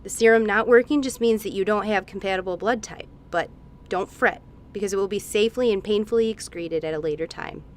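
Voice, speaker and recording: A woman's voice: mid-range voice, fairly quick, close to an everyday voice. An actress playing a breezy, callous female lab scientist with cheerily theatrical delivery in a comedic radio play. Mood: gleefully reassuring.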